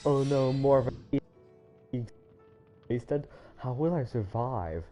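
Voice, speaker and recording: A man doing casual, rambling livestream game commentary, thinking out loud to himself. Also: medium pitch, animated, takes it slowly. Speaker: game streamer. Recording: loud game music behind voice. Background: video game music.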